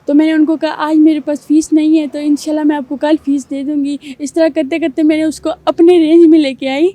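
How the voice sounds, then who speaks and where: Young man speaking in girlish falsetto. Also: flat delivery, dark tone, no room sound. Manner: talks in a girlish falsetto, rambling with fillers. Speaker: guest. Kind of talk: casual interview answers.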